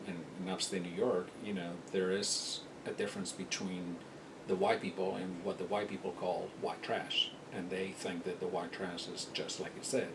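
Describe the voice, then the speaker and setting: A middle-aged man from Spain speaking reflectively in a documentary interview, with a hesitant, halting delivery. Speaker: interviewee, university employee. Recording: noisy, rumbling, distant mic.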